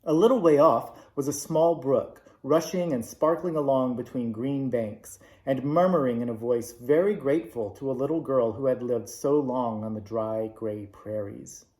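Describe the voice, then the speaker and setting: A man reading a classic children's novel aloud, with measured, expressive narration. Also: medium pitch. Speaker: storybook reader. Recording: roomy echo.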